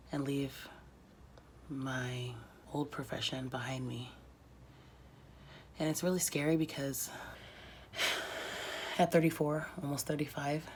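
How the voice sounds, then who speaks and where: Woman, thirties, very low and monotone, congested and whispery, hesitant reflective vlog talk. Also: slight background noise. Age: 30s. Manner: halting, reflective, many pauses and fillers. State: congested, losing her voice. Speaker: vlogger. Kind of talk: casual confessional vlog.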